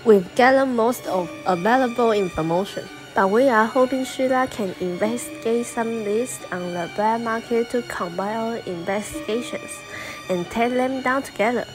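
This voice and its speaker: A woman as female investigator, fairly high pitch, amateur radio play, music underneath. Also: moderate pace, close to an everyday voice, low-quality recording. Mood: businesslike. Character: female detective's partner reporting investigation findings. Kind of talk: amateur student radio drama acting.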